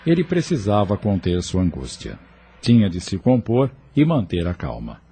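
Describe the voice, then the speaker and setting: A man's voice: sits low, huge pitch swings, normal speed, mellow, slightly rough. A man narrating an audio novel in a scripted, dramatic storytelling style, with faint ambient noise.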